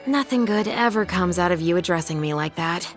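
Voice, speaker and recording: A woman's voice: fairly low pitch, expressive, close to an everyday voice. Woman as dry, composed patrol captain. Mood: wry. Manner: dry, wry, measured. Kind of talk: video game character voice acting. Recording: game music behind the voice. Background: game music.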